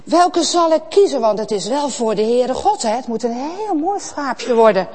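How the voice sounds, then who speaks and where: Woman doing a bright, gushing young shepherd. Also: high-pitched, lively intonation, normal speed, husky. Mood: delighted. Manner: gushing, delighted. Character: cheerful devout young shepherd man, eager.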